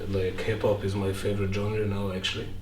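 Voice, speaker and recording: A man's voice: lowish voice, completely flat delivery, brisk. A young man with an Egyptian accent chatting casually as an interview guest. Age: young adult. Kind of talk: casual chat. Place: living room with big windows. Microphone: handheld microphone. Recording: echoey, distant, some room noise.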